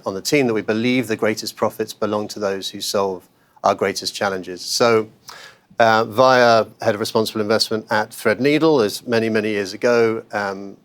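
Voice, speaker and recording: A man's voice: on the low side, fairly bright tone. A man speaking as a guest on a podcast interview, talking conversationally with many fillers and self-corrections. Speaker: interview guest, investment manager.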